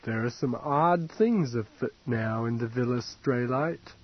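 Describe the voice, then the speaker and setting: A man's voice: medium pitch, a little slow, breathy edge, muffled recording. A man reading an audiobook, voicing an ancient, dying, decadent rich man who rambles and mocks in a languid drawl. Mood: rambling. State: drunk and fading. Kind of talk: audiobook character voice.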